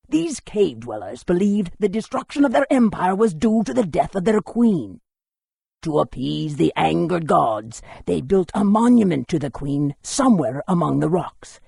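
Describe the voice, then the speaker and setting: A man voicing a helpful, scholarly lore-keeper in a fantasy video game, telling history in a measured, expressive way. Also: sits high, vocal fry, husky, no echo, clean studio sound, full character voice.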